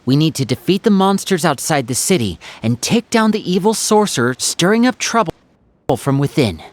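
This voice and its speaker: A woman doing a stern, deep-voiced hunter. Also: sing-song, normal speed, a little hiss or hum. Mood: determined. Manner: firm, clipped, low put-on voice.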